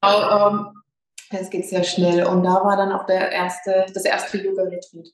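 A woman's voice: medium pitch, level tone, unhurried, slight background noise. Yoga lady, a bit nervous, lots of ums, roomy echo. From Lower Franconia, Germany. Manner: hesitant, many fillers, nervous. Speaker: yoga teacher, guest. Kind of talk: casual interview. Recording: echoey room.

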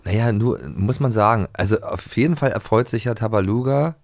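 A man's voice: on the low side, fairly quick, no echo. A middle-aged man from the former East Germany co-hosting a podcast, speaking reflectively with frequent fillers. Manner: reflective, many "äh" and "ähm" fillers. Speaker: podcast co-host. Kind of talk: casual chat about audio dramas.

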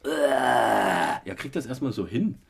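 A man's voice: mid-range voice, takes it slowly. A man with a cold, hosting a casual family podcast and retelling a day out step by step. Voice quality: husky. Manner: relaxed, many fillers, retells events step by step. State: has a cold. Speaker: podcast host with his child.

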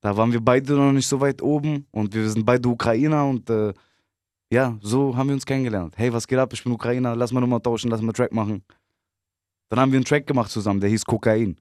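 A man in his twenties from Berlin, a rapper guest giving relaxed, short answers with easy laughs. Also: mid-range voice, fast talker, dry recording, studio quality. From Berlin, Germany. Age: 20s. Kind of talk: casual interview chat.

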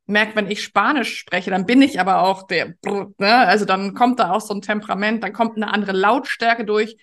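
A woman's voice: medium pitch, fairly even intonation, a little fast, fairly bright tone. A woman hosting a podcast interview in a chatty, conversational way, speaking directly to her guest and listeners.

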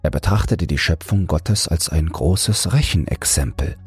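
A man's voice: rumbling low, lively intonation, measured pace, breathy edge, faint music behind. A man narrating a fairy tale as a scripted bedtime audiobook.

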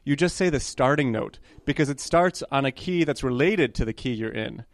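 A man's voice: mid-range voice, expressive, normal speed, dry recording. Young man from New York, candid and rambling, dry close sound. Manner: chatty, self-correcting, apologetic asides. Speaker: guest conductor candidate. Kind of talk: conversational storytelling interview.

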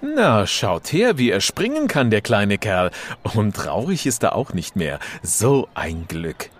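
A man with a fairly low voice narrates a children's tale. His delivery is theatrical and highly animated, exclaiming as though he were watching it all happen. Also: slow. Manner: theatrical, exclaiming, involved in the action. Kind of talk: expressive children's story narration.

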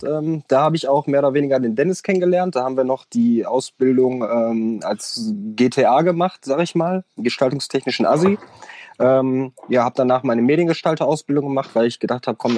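A man's voice: mid-range voice, warm tone, no echo. A man in his late twenties, a tattoo artist chatting casually on a voice call, rambling with many fillers and laughing now and then. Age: 20s. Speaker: tattoo artist, podcast guest. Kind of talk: casual chat over a voice call.

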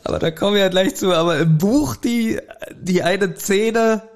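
A man with a fairly high voice talks as a podcast co-host. His delivery is casual and conversational, like a review among friends, with frequent chuckles. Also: normal speed. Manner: chuckles often while talking. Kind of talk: casual chat reviewing an audio drama.

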